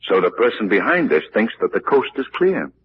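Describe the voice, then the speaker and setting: A dull, faintly noisy old radio recording of a shrewd detective. His lowish voice moves dramatically, clipped and commanding as he takes charge. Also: sing-song, a little fast. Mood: urgent. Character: composed, commanding amateur detective, shrewd man. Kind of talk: 1940s radio mystery acting.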